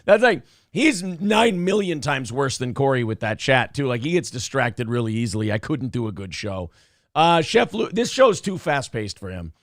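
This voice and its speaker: Casting note: male talk-show host, hoarse and raspy, mid-pitched, rambling and profane, dry close-mic studio sound. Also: very animated, normal speed, no echo. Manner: rambling, restarts, swears freely. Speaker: radio show host reading live chat. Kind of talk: casual chat.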